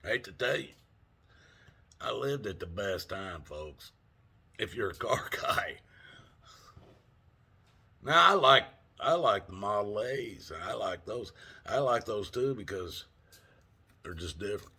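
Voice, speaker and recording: Older guy vlogging, husky with a bright edge, easygoing storyteller. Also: on the low side, animated, a little slow, pauses often. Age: 60s. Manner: folksy, rambling, laughs often, mild cursing. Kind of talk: casual storytelling vlog.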